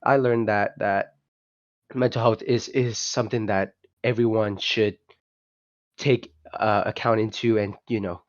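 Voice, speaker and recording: A man's voice: fairly low pitch, steady tone, measured pace, no room sound. A young man, talking loosely as a guest on an online call. He hesitates and restarts often, leaning on fillers. The sound is dry and dull, with no room around it. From Vietnam. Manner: hesitant, many restarts and "you know" fillers. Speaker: guest, project founder. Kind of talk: casual podcast interview.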